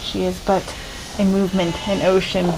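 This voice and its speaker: A woman narrating in a solemn, poetic, deadpan mock-documentary style. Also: medium pitch, normal speed, noisy background. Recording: loud music behind the voice.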